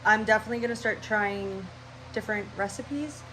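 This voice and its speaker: A woman's voice: medium pitch, a little fast, a bit bright, a little hiss or hum, poor audio. A young woman with a stuffy, nasal voice, rambling casually through her channel intro at home. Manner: scatterbrained, rambling, chatty. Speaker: vlogger.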